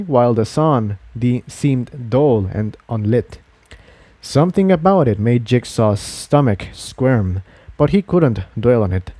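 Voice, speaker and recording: Guy narrating a fantasy story, mid pitch voice, really dry recording with no room sound. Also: dark tone, no room sound. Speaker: fan fiction narrator.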